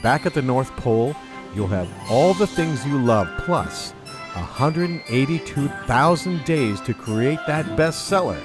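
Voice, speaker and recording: A man's voice: medium pitch, lively intonation, measured pace, lightly acted. A man playing an earnest, persuasive space adventurer hero in a sci-fi comedy audio drama, speaking with dramatic sincerity. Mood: earnest, stirring. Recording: music behind the voice. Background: music.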